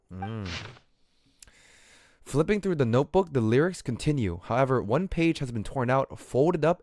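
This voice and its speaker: A man's voice: mid-range voice, dry recording, studio quality. A man livestreaming a game, reading in-game text aloud and commenting in a casual, slangy way. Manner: casual, slangy, mild swearing. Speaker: streamer.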